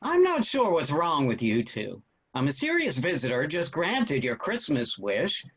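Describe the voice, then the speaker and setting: A man's voice: fairly high pitch, huge pitch swings, a little slow, a bit bright, husky, exaggerated voice. A man playing a genial, wryly amused father in a theatrical play reading. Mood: wry, festive. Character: genial father proposing a festive toast. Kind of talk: virtual stage play table read.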